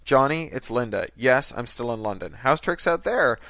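A man's voice: medium pitch, lively intonation, moderate pace, a bit bright, no room sound, close to an everyday voice. A man voicing an angry, litigious young American woman in an audiobook story, brash, scheming and demanding. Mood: breezy, scheming. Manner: strident, demanding.

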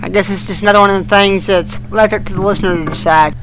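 A man with a notably high-pitched voice talks as a podcast co-host. His delivery is casual, folksy and noncommittal. A faint hum sits behind him on an amateur recording. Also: steady tone, fast talker, fairly bright tone, low-quality recording. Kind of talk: casual fan podcast chat. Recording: amateur podcast with humming noise.

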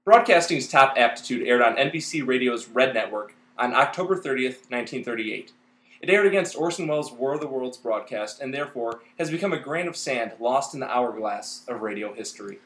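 A man's voice: medium pitch, animated, a little fast, fairly bright tone, reverberant, rough recording. A man hosting a podcast, reading a scripted, announcer-like introduction. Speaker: podcast host.

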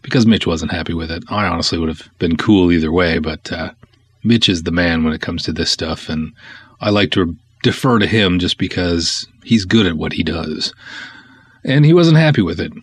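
A man's voice: low voice, moderate pace, breathy edge. A man hosting a podcast as a filmmaker, giving a casual solo update in a conversational, enthusiastic way with emphatic stresses. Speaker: podcast host, film writer-director.

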